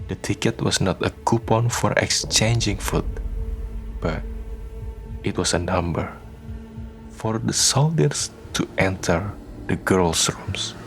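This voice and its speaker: A man narrating a scripted radio drama in a solemn, measured storytelling manner. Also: deep voice, soft background music.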